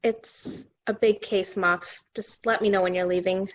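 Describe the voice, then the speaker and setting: Casting: busy, emotionally cool wife female actor, mid-pitched, questioning and clipped, naturalistic TV drama read. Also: fairly even intonation, unhurried, natural voice, rough recording. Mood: guarded, dismissive. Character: cool, busy corporate lawyer wife, guarded.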